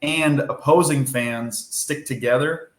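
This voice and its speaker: A man's voice: mid-range voice, slow pace. Easygoing young man in an echoey room. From Minnesota. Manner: easygoing, rambling with fillers and restarts. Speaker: baseball pitcher guest. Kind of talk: casual podcast interview.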